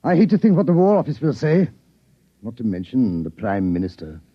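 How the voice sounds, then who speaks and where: An actor playing a blustering British army colonel in 1950s radio style, stuffy and easily flustered. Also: male voice, mid-range voice, big pitch swings, a little fast, over loud music, natural voice. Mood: resigned. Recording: muffled old radio recording, faint noise.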